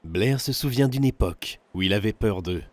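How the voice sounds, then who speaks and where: Male film narrator, lowish register, scripted third-person read, very dry studio-like sound. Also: expressive, normal speed, darkish tone, dry recording.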